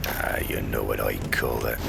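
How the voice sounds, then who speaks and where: Deep, gravelly old cynic smuggler. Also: male voice, little pitch movement, moderate pace, heavily acted. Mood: sardonic. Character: cynical, grizzled older male smuggler, mocking and fatalistic. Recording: loud ambient noise behind.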